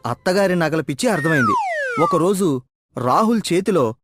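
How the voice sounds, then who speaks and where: A man's voice: high voice, big pitch swings, moderate pace. A man narrating a scripted moral story as storyteller, with music playing behind him.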